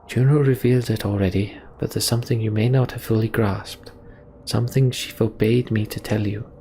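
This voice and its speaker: Man reading as a weary, low-voiced warrior. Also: steady tone, brisk, dark tone, a little hiss or hum, natural voice. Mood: regretful. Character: weary, guilt-ridden male fantasy warrior.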